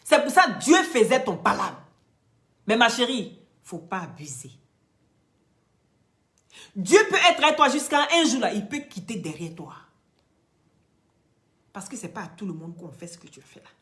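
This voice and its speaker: A woman with an Ivorian French accent speaks straight to her viewers in emphatic, sermon-like commentary. Her voice sits at an ordinary middle pitch. She climbs to loud, insistent peaks, then drops to hushed, breathy asides. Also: big pitch swings, normal speed, pauses often. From Ivory Coast. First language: Dioula or another Ivorian language. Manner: emphatic and preachy, loud rising peaks that drop to quiet near-whispered asides. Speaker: social media commentator. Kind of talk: impassioned direct-to-camera commentary.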